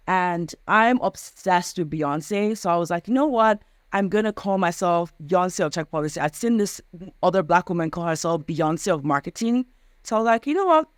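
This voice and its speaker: Young woman, smooth clear voice, chatty, lots of "like", dry video-call sound. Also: on the low side, no echo. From Nigeria. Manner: chatty, frequent "like" fillers, laughs easily. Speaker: guest. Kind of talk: casual video call chat.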